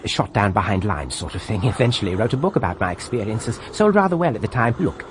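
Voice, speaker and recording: A polite, anxious British RAF officer in a radio drama. His pitch swings widely as he coaxes and hesitates in fussy, courteous phrases. There is faint noise behind him. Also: sing-song, a little fast. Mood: urbane, coaxing.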